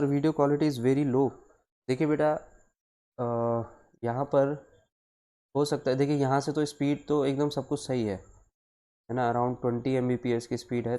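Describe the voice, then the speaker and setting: A male teacher speaks at a middling pitch. He explains things step by step in a deliberate, instructive way, repeating phrases for emphasis. He moves between Hindi and English and speaks directly to his students as in a live online class. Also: mellow.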